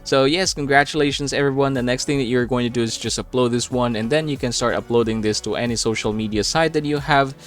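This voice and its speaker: A man's voice: medium pitch, quick pace, few pauses, faint music behind. A man narrating a step-by-step app tutorial in a casual, instructional way. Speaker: tutorial host.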